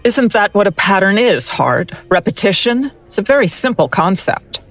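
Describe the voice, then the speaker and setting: A woman's voice: mid-range voice, very animated, normal speed, faint music behind. A woman playing a skeptical, no-nonsense forensic doctor who gives curt, sardonic replies. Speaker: forensic analyst.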